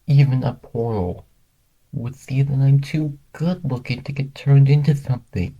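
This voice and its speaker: A man's voice: mid-range voice, unhurried, warm tone, breathy edge, muffled recording, pitched above the performer's own voice. A man voicing a vain, easily panicked young pop princess turned cartoon horse in a fan story. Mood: smug.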